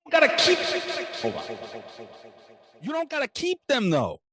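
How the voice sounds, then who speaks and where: A man's voice: very high-pitched, wildly swooping pitch, unhurried, sharp tone, dry recording. A man co-hosting a podcast in casual banter, blunt, profane and teasing. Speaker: podcast co-host.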